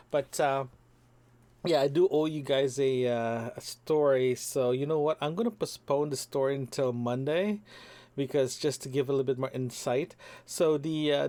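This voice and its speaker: Man, young adult, from Toronto, rambling audio diary, lots of ums and restarts, mid-pitched voice. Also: darkish tone. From Toronto, Canada. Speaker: podcast host. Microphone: single microphone.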